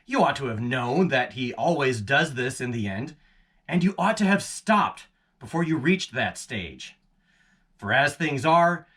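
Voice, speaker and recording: A man performing a dramatic literary reading as a senior demon uncle, pompous, scheming and condescending, with deliberate delivery. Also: a little high, fairly bright tone, husky, distant mic, exaggerated voice. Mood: displeased, lecturing.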